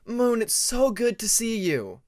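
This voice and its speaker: A man playing an eager young male dragon student who exclaims warmly in a narrated story. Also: very high-pitched, big pitch swings, unhurried, dry recording, studio quality, voice pushed up, heavily acted. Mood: overjoyed.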